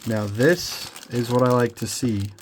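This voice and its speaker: A man's voice: medium pitch, measured pace, a little hiss or hum. A young man with a cold, sounding congested, chatting casually through an unboxing at his hobby bench with plenty of ums and restarts. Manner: relaxed, rambling, lots of ums and restarts. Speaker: scale model builder. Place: home hobby workbench.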